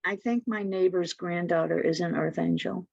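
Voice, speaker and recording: A woman's voice: on the low side, steady tone, moderate pace, vocal fry, darkish tone, dry recording, dull audio. A woman chatting casually as a participant in a spiritual discussion group.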